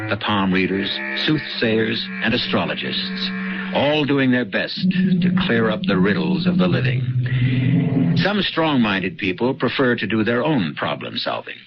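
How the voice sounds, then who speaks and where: A man narrating a radio mystery as its host, in a measured, deliberate, wry manner. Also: on the low side. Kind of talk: scripted dramatic narration. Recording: muffled old radio broadcast over music.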